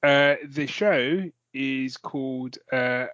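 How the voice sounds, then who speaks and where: A man's voice: medium pitch, slow, a bit bright, clear, resonant tone, no echo, dull audio. A man, co-hosting a podcast in casual, jokey, rambling chat with some swearing. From London, England. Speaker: podcast co-host.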